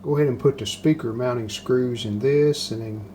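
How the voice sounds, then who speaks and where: A man's voice: medium pitch, moderate pace, mellow. A man casually narrating his hands-on repair work step by step, plain-spoken and matter-of-fact. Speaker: restoration video host. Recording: clearly noticeable background noise.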